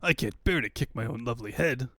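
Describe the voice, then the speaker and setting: Man acting a pompous villainous tyrant, animated delivery. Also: medium pitch, quick pace, dry recording, studio quality. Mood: gloating. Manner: grandiose, gloating, bellowing orders. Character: pompous, vain villainous mad-scientist tyrant, easily enraged.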